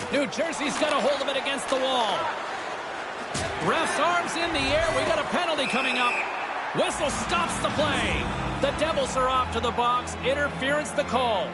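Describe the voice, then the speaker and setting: A man calling hockey play-by-play in excited, clipped phrases, as a game commentator. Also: very high-pitched, moderate pace, over loud music, a loud crowd.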